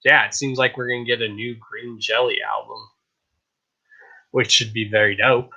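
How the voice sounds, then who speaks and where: A man hosting a casual live stream show, chatting in a hyped, rambling way, his voice a little raspy. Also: medium pitch, level tone, normal speed, bright, forceful tone, lo-fi sound. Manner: hyped, rambling, repeats "I kid you not". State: slightly raspy voice.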